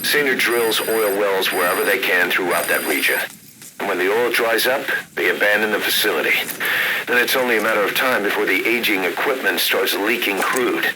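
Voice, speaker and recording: Low-voiced military radio adviser. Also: male voice, brisk, background noise. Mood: measured briefing. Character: stern male military intelligence adviser giving orders. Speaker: mission radio support. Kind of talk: scripted video game radio briefing. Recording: loud game ambience behind radio voice.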